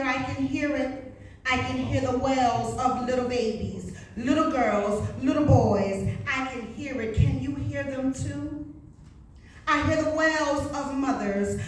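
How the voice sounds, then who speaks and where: An American woman performing spoken word poetry in a dramatic, rhythmic way, with short repeated phrases and pauses. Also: high voice, far from the mic. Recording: echoey room from afar, faint noise.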